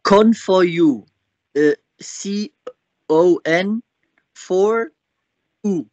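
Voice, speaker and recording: A man with a slightly raised pitch handles a phone conversation in a blunt, demanding way. He takes charge with questions and instructions, in a dry, close sound. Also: extremely slow, a little mellow, dry recording, dull audio. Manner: blunt, demanding, directing the call.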